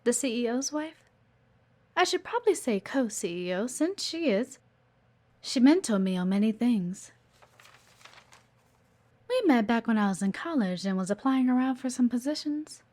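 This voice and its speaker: A coy, teasing female executive assistant acted by a woman in an audio roleplay, speaking in a high, very animated voice. She is chatty and observant, addressing the listener intimately with a faintly menacing edge under the playfulness. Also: normal speed, long pauses. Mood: fond, reflective. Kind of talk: intimate scripted audio roleplay, one-sided conversation with the listener.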